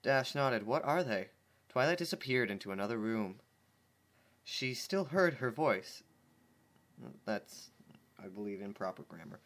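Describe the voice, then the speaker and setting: A man's voice: on the low side, fairly bright tone. A man reading fan fiction aloud in a casual, wry way, tripping over words and breaking off into joking asides. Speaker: fanfiction reader. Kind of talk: casual dramatic reading with commentary.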